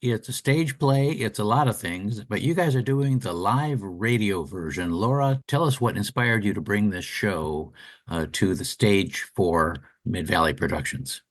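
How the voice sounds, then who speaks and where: A man's voice: fairly low pitch, expressive, unhurried, no echo. A man co-hosting a radio arts show, delivering announcements and interview questions in an enthusiastic, promotional announcer style.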